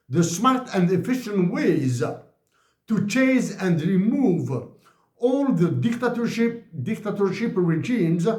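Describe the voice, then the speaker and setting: A man from Algeria giving an impassioned political address to officials, speaking slowly and deliberately with frequent restarts. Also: sits high, darkish tone, roomy sound.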